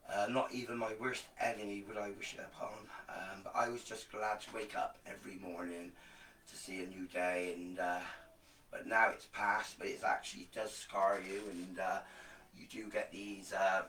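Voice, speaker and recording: A man with a low, groggy voice talks loosely to his live viewers. He rambles, stacks fillers and repeats words for emphasis. He sits back from the microphone in a roomy, echoey space. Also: flat delivery, normal speed, pushed and bright, far from the mic, slight background noise. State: groggy voice after illness. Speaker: live streamer promoting an online program. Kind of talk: casual live video chat to viewers.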